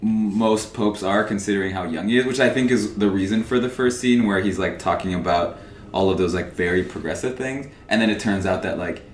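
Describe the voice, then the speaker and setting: Echoey low-voiced young man chatting. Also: brisk, a little hiss or hum. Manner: animated, laughs often, retells scenes with put-on quotes. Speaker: podcast co-host. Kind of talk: casual chat. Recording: echoey room with faint ambience.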